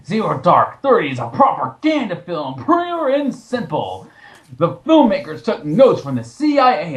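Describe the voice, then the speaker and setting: Man putting on an indignant, high-pitched, swooping film critic. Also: full character voice. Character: opinionated, indignant male online film critic being mocked. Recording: roomy, echoing home recording.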